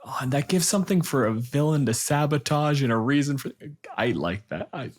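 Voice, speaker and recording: A man hosting a casual tabletop gaming discussion, riffing in an animated way and acting out imagined quotes. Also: medium pitch, brisk.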